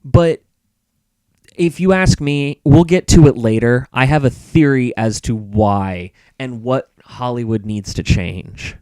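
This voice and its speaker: A man's voice: medium pitch, slow, darkish tone, smooth, dry recording, rough recording. A man speaking as a podcast guest in casual, opinionated discussion, emphatic and repeating points for stress.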